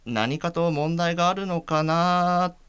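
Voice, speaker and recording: A man's voice: on the high side, unhurried, fairly bright tone, clean tone, no room sound. A man playing an earnest, polite blind man shyly in love, in an audio drama. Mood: bashful, earnest.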